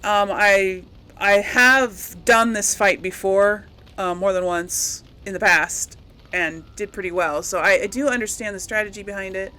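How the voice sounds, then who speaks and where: A woman with a medium-pitched voice hosts a video game playthrough. She speaks to her viewers informally, in a chatty, rambling manner, as casual commentary. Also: measured pace, pushed and bright. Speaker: host of a video game let's play channel.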